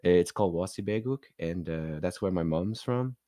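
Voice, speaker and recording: A man's voice: low-pitched, normal speed, warm tone, dry recording, clean studio sound. A man with a Quebec French accent, a native French speaker, talking casually as a podcast guest. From Quebec, Canada. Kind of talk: casual conversational talk.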